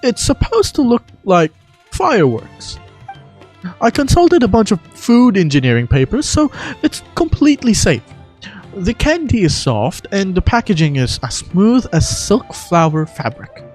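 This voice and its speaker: Man acting out a theatrical, high-voiced excitable young architect. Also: measured pace, soft background music, exaggerated voice. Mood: eager. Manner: theatrical, emphatic. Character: excitable, dramatic young male architect, indignant and earnest.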